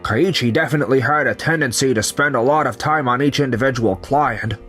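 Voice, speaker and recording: A man's voice: medium pitch, fairly quick, a bit bright, lightly acted. Guy doing young office man for a manga dub, talking business, music behind him. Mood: earnest, explanatory. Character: betrayed young company founder, calm and resigned, and his coworkers. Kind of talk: manga dub acting and narration. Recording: music under the voice.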